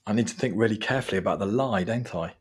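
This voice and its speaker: Husky-voiced Englishman in his forties. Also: lowish voice, very fast. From England. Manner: self-deprecating, chatty, giving advice. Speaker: guest. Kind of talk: podcast interview.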